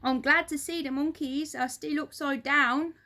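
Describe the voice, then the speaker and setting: A woman voicing a grumpy, foolish husband in a children's story, shouting and blustering. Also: sits high, fairly even intonation, moderate pace, pitched above the performer's own voice. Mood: smug.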